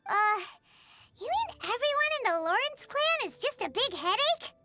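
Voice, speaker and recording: A very high squeaky fairy companion. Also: female voice, big pitch swings, moderate pace, bright, forceful tone, soft background music, heavily acted. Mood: exasperated. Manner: squeaky, childlike, refers to self in third person. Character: tiny chirpy childlike fairy companion, blunt and exasperated. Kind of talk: scripted video game character acting.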